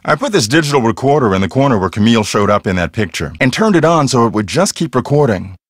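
A man's voice: medium pitch, very animated, fast talker, breathy edge, no room sound, clean studio sound. A man playing a cocky, earnest TV ghost hunter in a video game, explaining things in a theatrical, self-assured way.

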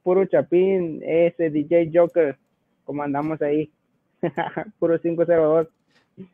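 A man in his twenties with a Guatemalan accent talks informally, giving a personal testimony as a guest. His voice sits a bit high for a man. The sound is dry and narrow, like a small radio or phone line. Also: flat delivery, measured pace, no room sound. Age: 20s.

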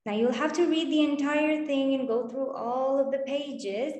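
A woman's voice: high-pitched, a little slow, a little mellow. A woman in her twenties cheerfully hosting an online session for children, encouraging and explaining things step by step on a video call. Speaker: host of children's online storytime. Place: indoor room with bare wall. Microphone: video call, computer microphone. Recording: roomy, echoing video call.

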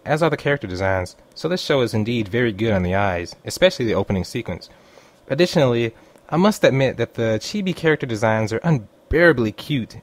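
A man reading a scripted review as an online critic, in voice-over. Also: medium pitch. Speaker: anime reviewer.

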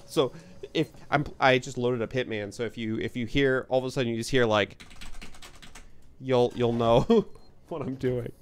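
A man with a medium-pitched voice, chatting as a co-streamer. He is casual and jokey, and likes to act out little sounds and reactions as he talks. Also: fairly even intonation, normal speed. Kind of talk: casual joking chat.

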